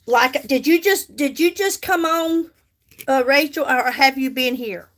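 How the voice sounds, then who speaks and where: Slow, high-voiced woman in her fifties. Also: fairly bright tone. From United States. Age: 50s. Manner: chatty, reads and answers viewer comments, repeats herself for emphasis. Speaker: makeup livestream host. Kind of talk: casual livestream chat while doing makeup.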